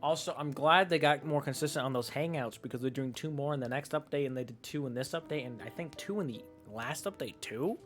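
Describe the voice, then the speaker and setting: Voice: medium pitch, a little fast, few pauses, faint music behind. A video game streamer giving casual, rambling commentary with joking asides.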